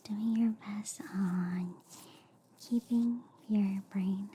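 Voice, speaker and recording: A woman speaks in a breathy, mellow whisper, very close to the mic. Her delivery is slow and soothing, with playful reassurance, as in an ASMR roleplay. Faint noise sits behind her voice. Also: medium pitch, fairly even intonation. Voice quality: soft, breathy, mostly whispered. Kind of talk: soft ASMR roleplay as a friendly alien doctor. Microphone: close ASMR microphone.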